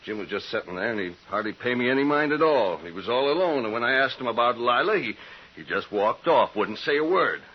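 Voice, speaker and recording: Pushy frontier alarmist: raspy, animated, emphatic, rural Western accent, vintage radio drama sound. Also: male voice, mid-range voice, fairly quick. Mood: insistent. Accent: rural American West. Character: agitated, insistent male frontier busybody. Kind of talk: old-time radio western acting. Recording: muffled old radio recording.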